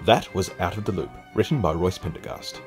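A man announcing the credits at the end of a radio comedy in a measured way. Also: sits low, over music. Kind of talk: scripted credits reading.

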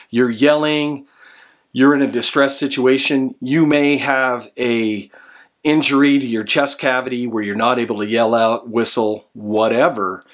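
An American man in his forties talks to camera as a product reviewer. His voice sits at a medium pitch. He explains things in an earnest, instructional manner, speaking from a room at home. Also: fairly bright tone. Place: small room indoors at home.

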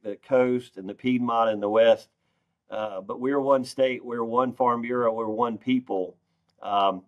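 A man's voice: mid-range voice, highs cut off. A man, giving earnest, plain-spoken interview answers as a farm organization president over a video call. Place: wood-paneled conference room indoors. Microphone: video call, computer microphone.

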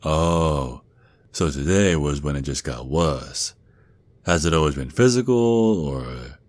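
An extremely deep, close and dry voice: a protective Southern werewolf father acted by a man in an ASMR roleplay. He has a folksy drawl and the gruff bearing of an army sergeant who dotes on his child. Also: animated, a little mellow, no room sound, full character voice. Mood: alarmed, indignant. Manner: doting, folksy, slow drawl with pet names.

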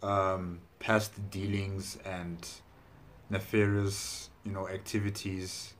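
A man in his thirties giving casual, reflective commentary in a halting way, thinking aloud on a lapel mic in a plain room. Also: low voice, flat delivery. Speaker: reaction video host. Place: plain small indoor room. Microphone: clip-on lapel mic.

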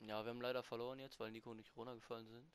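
Excitable young man gaming. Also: mid-range voice, racing, noisy background. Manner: excitable, swears casually, bursts of shouting. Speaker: gaming co-host (Max). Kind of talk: casual gameplay commentary.

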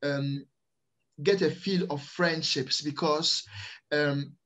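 Male interview guest, middle-aged, fairly high register, measured and halting personal account over a video call. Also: fairly even intonation, fairly bright tone. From Ghana, the Netherlands and France. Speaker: interview guest, early years teacher. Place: small plain room indoors, on a video call. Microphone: computer microphone on a video call.

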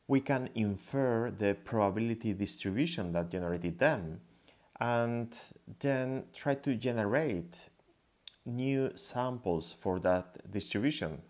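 A man from Spain with a Spanish accent giving an explanatory slide lecture, pausing hesitantly as he goes. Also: on the low side, a little mellow. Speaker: guest lecturer, tech founder.

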